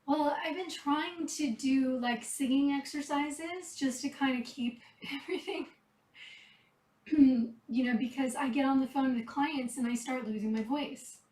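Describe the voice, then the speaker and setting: A high-pitched woman chats softly about caring for rescue cats, her voice turning a little hoarse. She sits back from the mic. The room around her rings with echo. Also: unhurried, far from the mic. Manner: chatty, soft-spoken, talks to the cats between remarks. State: losing her voice, a bit hoarse. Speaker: cat rescuer. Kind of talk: casual chat while tending kittens. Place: kitten room indoors.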